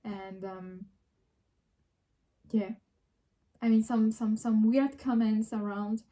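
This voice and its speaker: French-accented woman with a mellow voice. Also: on the high side, steady tone, slow pace. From France. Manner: frequent restarts and hesitations, reflective. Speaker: vlogger. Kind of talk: casual personal vlog.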